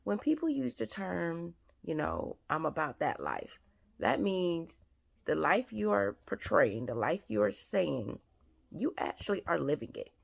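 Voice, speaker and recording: A woman hosting her own advice show, speaking with a raspy, scratchy throat in an earnest, repetitive way with many fillers. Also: lowish voice, wildly swooping pitch, measured pace. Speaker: host. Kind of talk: advice talk to camera.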